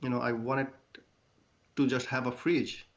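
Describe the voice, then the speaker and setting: A man's voice: medium pitch, little pitch movement, unhurried. Man on a dull call line. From Bangladesh. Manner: earnest, hesitant, many fillers. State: under the weather. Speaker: guest, clothing brand founder. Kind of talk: casual interview answers.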